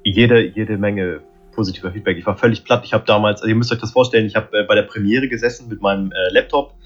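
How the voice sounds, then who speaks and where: A man's voice: on the low side, fairly even intonation, fast, lo-fi sound, soft background music. A man as a podcast guest, chatting eagerly and casually.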